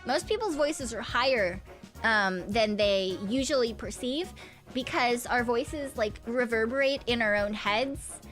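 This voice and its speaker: A woman's voice: on the high side, a little slow, fairly bright tone, faint music behind. A young woman streamer chatting casually, rambling with lots of hums, fillers, giggles and the odd swear word. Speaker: VTuber streamer.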